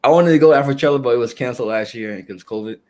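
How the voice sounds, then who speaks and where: A young man talking casually as an interview guest, pausing and restarting often as he gathers his thoughts. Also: mid-range voice, darkish tone. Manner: halting, with frequent restarts and fillers.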